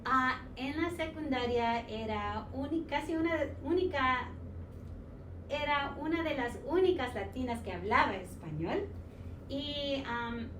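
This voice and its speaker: A woman in her forties from New York, a teacher telling her life story in a casual interview, pausing often with fillers and mixing in English words. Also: high voice. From United States, New York. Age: 40s. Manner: halting, many fillers, slips English words into Spanish. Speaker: social studies teacher, interview guest. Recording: roomy sound from a distant mic with noise.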